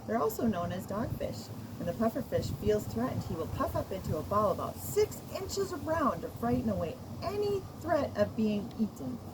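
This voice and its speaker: A kindly, teasing storybook grandfather, voiced by a woman, spinning fish tales. The delivery is patient and playful, with lively pitch movement. Loud noise sits behind the voice. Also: moderate pace, hardly any pauses. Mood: storytelling. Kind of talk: children's storybook character voice. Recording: noisy recording with loud background noise.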